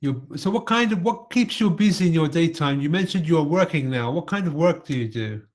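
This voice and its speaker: A man from London leading a casual English conversation, polite and steady, asking guiding questions. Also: a little high, brisk.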